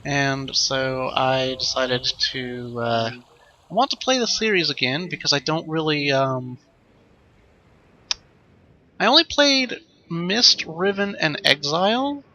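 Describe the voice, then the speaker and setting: A man hosting a let's play with casual, improvised commentary, rambling and thinking out loud as he goes. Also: a little high, animated, takes it slowly, muffled recording.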